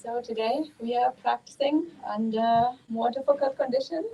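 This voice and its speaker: A woman from Germany with a German accent, a Buddhist nun giving a calm, measured dharma talk at a monastery, pausing often with ums. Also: on the high side, highs cut off, soft background music.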